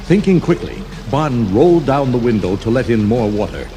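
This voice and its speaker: A man's voice: mid-range voice, sing-song, moderate pace, noisy background. A man narrating a scripted children's adventure story as the storybook's reader. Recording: 1980s storybook cassette with music underneath. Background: background music.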